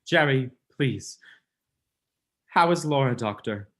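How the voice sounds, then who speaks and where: A student actor playing an earnest, protective, suspicious gentleman in a melodramatic vintage radio mystery. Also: medium pitch, expressive, takes it slowly. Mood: concerned.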